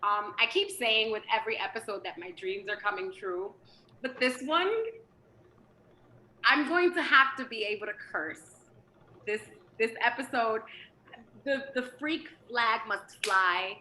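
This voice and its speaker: A woman hosting a casual show, talking in an excitable, playful way. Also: fairly high pitch, unhurried, roomy sound, a little hiss or hum, poor audio. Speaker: podcast host. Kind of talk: casual chat.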